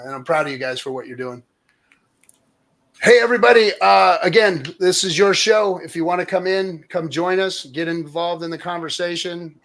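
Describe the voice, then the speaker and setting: A man's voice: mid-range voice, fairly bright tone. A man hosting a casual livestream, chatting with rambling asides and reading out comments from the chat. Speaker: livestream host.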